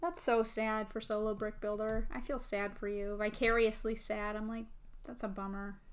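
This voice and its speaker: A woman's voice: mid-range voice, little pitch movement, normal speed. Chatty Midwestern American woman. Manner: chatty, easygoing, thinks aloud. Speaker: channel host. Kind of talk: casual chat while building. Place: home, indoors. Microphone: table microphone.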